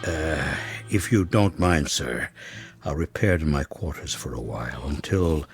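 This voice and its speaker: Very deep-voiced, deferential manservant. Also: male voice, expressive, unhurried, faint music behind, full character voice. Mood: deferential, careful. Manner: formal, hesitant, tactful. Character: deferential male household servant, polite and cautious. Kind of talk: radio drama acting.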